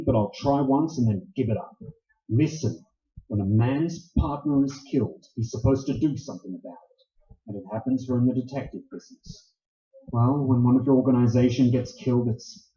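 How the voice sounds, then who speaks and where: Man as tough radio noir gumshoe, bitter and clipped, very dark voice, dull roomy recording. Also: mid-range voice, a little fast, natural voice. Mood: hard, conflicted. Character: hard-boiled male private detective, bitter and unsentimental. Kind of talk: 1940s radio noir acting. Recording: dull, echoey room recording.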